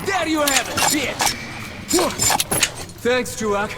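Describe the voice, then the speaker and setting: An actor playing a gruff, excitable, foul-mouthed Russian survivor in a video game, with a Russian accent and slangy talk. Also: male voice, very high voice, slow pace, exaggerated voice. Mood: frantic, shouting. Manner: slangy, swearing, urgent. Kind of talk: video game character acting. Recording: echoey hall, music or noise behind.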